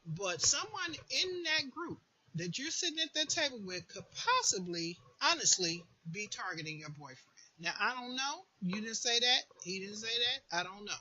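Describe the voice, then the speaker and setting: A woman's voice: fairly high pitch, sing-song, normal speed, bright, forceful tone. A woman giving informal spoken advice as a host, with an emphatic manner that repeats words for stress. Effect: voice distortion late in the recording. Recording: faint background noise.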